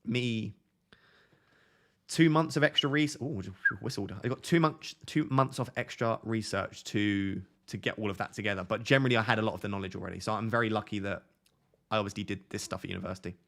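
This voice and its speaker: Twenty-something essex guy, accent mostly toned down, lowish voice, rambling live stream chat. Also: fairly even intonation, brisk, gravelly, fairly bright tone. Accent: softened Essex English. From Essex, England. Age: 20s. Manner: chatty and candid, rambling with restarts and tangents. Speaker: fantasy football streamer answering chat.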